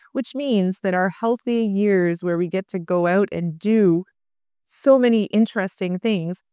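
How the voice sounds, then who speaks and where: A woman's voice: mid-range voice, clean tone, no room sound, clean studio sound. A woman in her forties from Canada, co-hosting a podcast and leading the conversation in a friendly, casual way. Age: 40s. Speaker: podcast co-host. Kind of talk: casual chat.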